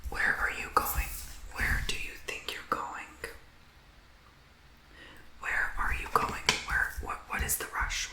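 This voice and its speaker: A man giving a tarot reading in a soft whisper, earnest and emotional, rambling as he encourages the listener. The recording has a roomy echo. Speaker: tarot reader.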